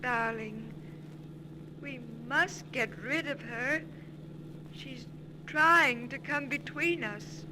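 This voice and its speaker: A woman's voice: sits high, very slow, long pauses. An actress playing a coaxing, sinister ghost woman in a 1940s radio melodrama, on a noisy old recording. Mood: coaxing, possessive.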